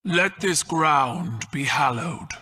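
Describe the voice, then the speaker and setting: Slow, husky, solemn leader. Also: male voice, on the high side, animated, sharp tone, exaggerated voice. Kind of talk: video game voice acting.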